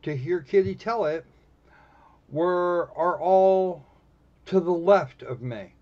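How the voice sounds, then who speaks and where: A man hosting a livestream, giving casual, snarky commentary on a game with halting pauses. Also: sits high, darkish tone. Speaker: livestream host. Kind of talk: casual live commentary on a visual novel. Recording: dull lo-fi microphone sound.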